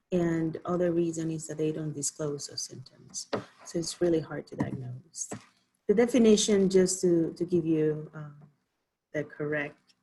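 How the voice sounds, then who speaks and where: A woman's voice: on the low side, mellow, slight background noise, low-quality recording. A woman from the Dominican Republic, a physician, giving an informal online presentation with many ums and restarts. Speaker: physician giving a health talk.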